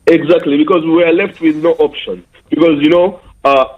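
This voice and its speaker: A man's voice: a little high, steady tone, a little fast. A man from Uganda calling in to a radio show, earnest and argumentative. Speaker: caller. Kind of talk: phone-in call. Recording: dull phone line.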